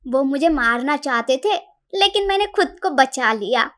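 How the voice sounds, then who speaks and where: A woman voicing a sassy high female cartoon parrot. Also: lively intonation, a bit bright. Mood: chatty. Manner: sassy and quick-witted. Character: chatty clever female talking parrot. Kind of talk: cartoon voice acting.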